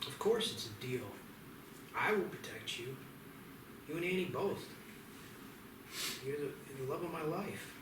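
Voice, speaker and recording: A man reading the part of a gruff, wisecracking but devoted sheriff and husband in an amateur screenplay table read, plain-spoken and wry. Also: a little high, lively intonation, unhurried, long pauses. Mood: tender, wry. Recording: echoey, noisy, distant microphone.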